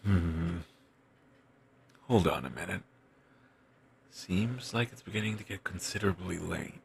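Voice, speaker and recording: A man playing a wistful, self-doubting wandering bard in an intimate audio roleplay, musing in courteous, formal phrases with thoughtful pauses and a gravelly, husky voice. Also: low-pitched, slight background noise. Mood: courteous, pensive. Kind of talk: intimate one-sided audio roleplay acting.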